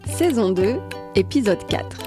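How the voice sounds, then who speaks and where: A woman with a French accent, co-hosting a podcast and reading her narration in a clear, smooth way. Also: medium pitch, lively intonation, unhurried, music in the background. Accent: French from France. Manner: clear, smooth, read-aloud delivery. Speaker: podcast co-host.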